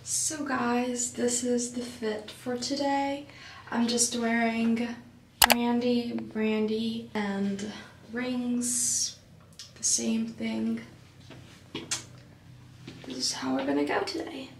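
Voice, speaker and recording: A woman's voice: a little high, drawn-out words, pauses often. A young woman chatting to the camera in a hotel room for a travel vlog, giggly and casual. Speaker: vlogger. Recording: loud background noise.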